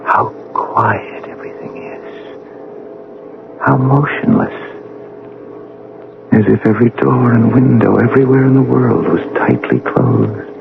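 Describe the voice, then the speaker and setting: An actor playing a bitter, proud scientist son in an old-time radio drama, with a theatrical, emphatic delivery. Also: male voice, medium pitch, slow pace, gravelly, pauses often, phone-quality sound, very noisy. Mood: bleak, brooding. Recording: band-limited radio drama recording.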